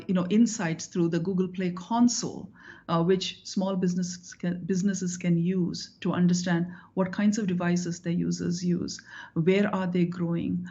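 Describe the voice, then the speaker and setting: A woman's voice: on the low side, warm tone. A woman tech executive explaining programs in a businesslike way with some hesitant fillers. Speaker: tech company executive, guest. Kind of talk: conversational interview answer. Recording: lo-fi remote audio.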